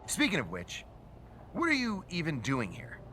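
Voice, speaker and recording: Slick promoter in a game, bright highish voice, swoopy and persuasive, faint noise behind. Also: male voice, huge pitch swings, moderate pace, full character voice, rough recording. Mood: persuasive, sly. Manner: emphatic, smooth-talking, persuasive. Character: slick, self-assured male showbiz promoter, smooth persuader. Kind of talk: scripted video game cutscene acting.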